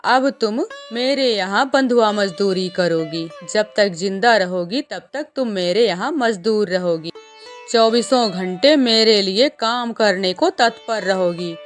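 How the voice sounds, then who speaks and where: A woman's voice: medium pitch, slow pace, soft background music, close to an everyday voice. A woman voicing a bossy, threatening male vulture in a children's cartoon story, stern and commanding. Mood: angry, threatening.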